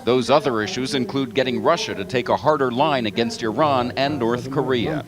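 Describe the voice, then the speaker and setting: A man news correspondent giving a scripted report in an even, formal delivery. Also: medium pitch, moderate pace, a crowd in the background.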